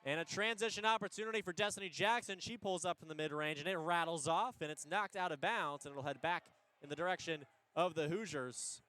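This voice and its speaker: A man giving live radio play-by-play of a basketball game in an energetic, descriptive way, lifting his voice on big plays. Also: fairly high pitch, brisk. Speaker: play-by-play radio sports announcer.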